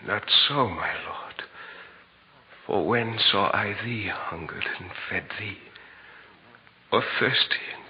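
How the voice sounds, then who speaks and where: Slow, solemn old wise man. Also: lowish voice, husky, heavily acted. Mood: humble, weary. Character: weary, devout old wise man, tender and humble. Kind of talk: 1940s radio drama acting, archaic speech. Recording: muffled 1940s radio recording, faint noise.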